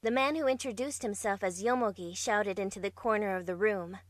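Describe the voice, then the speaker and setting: A woman's voice: mid-range voice, fairly quick, no room sound, studio quality, close to an everyday voice. A woman playing a bewildered young heroine, narrating her own story in an amateur visual novel dub. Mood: introspective. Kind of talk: first-person visual novel narration, fandub.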